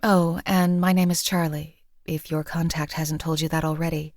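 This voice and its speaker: A lethal female assassin companion from a video game, acted by a woman, with a somewhat low voice. She is composed and dry, stating things matter-of-factly. The recording is close and dry, with no room sound. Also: flat delivery, moderate pace, dry recording. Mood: businesslike.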